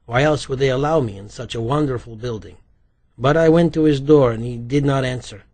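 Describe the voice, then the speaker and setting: A man's voice: mid-range voice, a little fast, a little mellow, natural voice. A man voicing a slippery, talkative immigrant bookie with a light Polish accent, evasive and chatty, in an audiobook. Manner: evasive, chatty, questioning tags.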